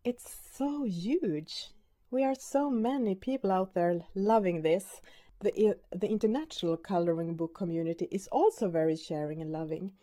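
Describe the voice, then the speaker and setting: A woman in her forties with a Swedish accent introduces her podcast in English, her second language. Her voice is a touch low and warm, and she talks slowly with hesitant fillers and repeated words. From Sweden. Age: 40s. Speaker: podcast host. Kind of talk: casual personal introduction.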